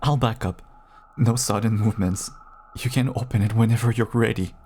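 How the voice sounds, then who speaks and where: A man playing a gentle, apologetic, seemingly cured vampire husband in an audio roleplay, pleading tenderly in a soft, breathy, stammering voice. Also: on the low side, fairly even intonation, moderate pace, slight background noise, lightly acted. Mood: hopeful, tender. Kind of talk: intimate audio roleplay acting.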